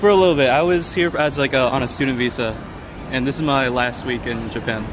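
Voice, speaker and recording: A man's voice: mid-range voice, fairly even intonation, brisk. A man in his twenties with a Californian American accent, chatting casually as he is interviewed. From California, United States. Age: 20s. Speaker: interviewee, exchange student. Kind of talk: casual chat. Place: outdoors on a Tokyo street. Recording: noisy city street.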